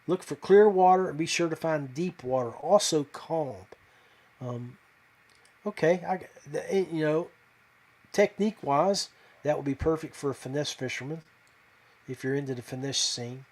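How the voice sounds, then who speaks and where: A man's voice: fairly high pitch, measured pace, a little mellow. A man hosting a live podcast, talking casually and folksily to viewers in a hesitant, rambling way. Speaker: podcast host.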